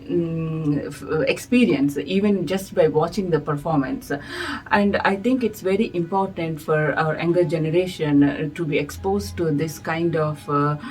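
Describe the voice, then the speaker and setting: A middle-aged woman from India, whose first language is Telugu, answers interview questions in a low, unhurried voice. She pauses often and searches for words, filling the gaps with soft hesitations. A faint bed of music sits underneath her voice. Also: low-quality recording. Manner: thoughtful, hesitant with many fillers. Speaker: guest, music society director.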